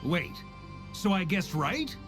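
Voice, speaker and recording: A man's voice: high-pitched, sing-song, slow, heavily acted. A man playing a curious, confident young traveler in a video game, speaking casually and expressively. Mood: playful. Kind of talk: game voice acting. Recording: game music behind.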